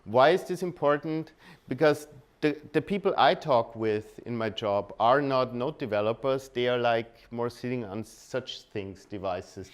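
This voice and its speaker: A man with an Austrian accent and German as his native language, giving an informal technical talk, often halting and rephrasing mid-sentence. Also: medium pitch, smooth. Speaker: conference speaker. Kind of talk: technical presentation at a meetup.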